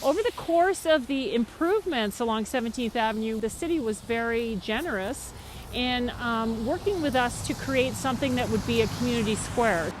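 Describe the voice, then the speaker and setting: A woman's voice: on the high side, expressive, normal speed, noisy background. A woman who runs a business association, speaking with enthusiasm in a documentary interview. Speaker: business association executive director.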